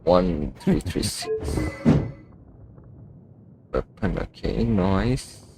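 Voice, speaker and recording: A man's voice: low voice, big pitch swings, drawn-out words, vocal fry, music in the background. A man streaming a video game, giving casual live commentary as he plays. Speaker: gaming streamer.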